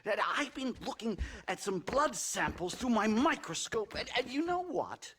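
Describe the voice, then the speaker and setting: An eccentric academic for a video game. His high, husky voice swoops about as he stammers and flits between thoughts. He is pompous and condescending, always excitable. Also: normal speed, exaggerated voice. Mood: condescending, excited. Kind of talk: theatrical video game acting.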